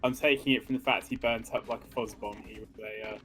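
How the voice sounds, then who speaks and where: A man co-hosting a video game playthrough with casual, joking commentary in a dry, deadpan, mock-official manner. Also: medium pitch, quick pace. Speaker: let's play co-host. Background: game music.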